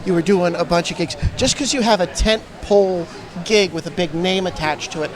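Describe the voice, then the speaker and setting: A man's voice: high-pitched, brisk. A man co-hosting a podcast, upbeat as he asks questions and wraps up segments. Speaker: podcast co-host. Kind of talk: casual interview chat. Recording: crowd noise around.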